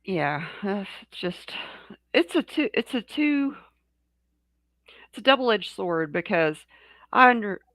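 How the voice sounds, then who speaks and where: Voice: medium pitch, very animated, slow pace, pauses often, fairly bright tone, no room sound. A co-host in a casual talk show, speaking frankly in a rambling way with many restarts. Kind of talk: casual chat.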